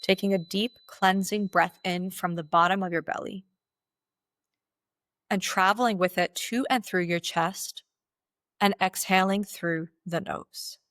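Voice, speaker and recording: A woman leading a guided meditation as its guide, speaking in slow, deliberate instructional phrases with pauses. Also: mid-range voice, steady tone, a bit bright, dry recording, clean studio sound.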